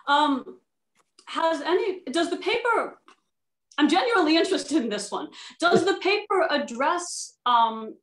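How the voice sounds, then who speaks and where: High-voiced, articulate woman in an echoey room. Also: animated, measured pace. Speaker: panelist. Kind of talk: casual, animated panel chat. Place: indoor room, video call. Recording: echoey room on video call.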